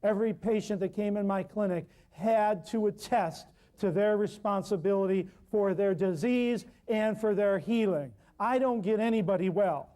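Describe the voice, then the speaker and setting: A man leads a healing workshop in a loud, emphatic, folksy manner, stacking up short lists of words for effect. His voice sits high for a man, yet it has a mellow, warm tone. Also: moderate pace, few pauses. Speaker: natural healing teacher. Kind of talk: workshop lecture to a live class.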